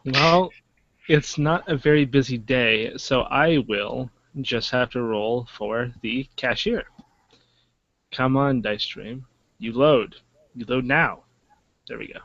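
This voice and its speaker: A man's voice: medium pitch, slow, fairly bright tone, dull audio. A man running a tabletop game as game master, narrating events and often stumbling and restarting his sentences.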